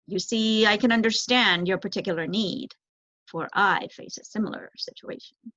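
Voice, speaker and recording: A wise old male owl from a children's story, voiced by a woman reader, speaks in grave, measured sentences. He sounds solemn and resigned. The recording is dry and slightly dull. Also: mid-range voice, bright, forceful tone, no echo. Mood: melancholy, resigned. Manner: formal, measured, grave phrasing. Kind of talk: children's storybook read aloud.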